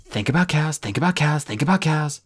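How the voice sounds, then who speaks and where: A nervous young dragon, as a man voices him, a little higher than the narrator, anxious and repeating himself. He sounds loyal and scared in a storybook reading. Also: animated, brisk.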